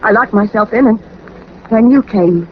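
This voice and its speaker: A woman's voice: mid-range voice, normal speed, natural voice. An actress playing a frightened young woman in danger, speaking politely and urgently in 1940s radio drama style. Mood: shaken. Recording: dull, noisy old 1940s radio recording.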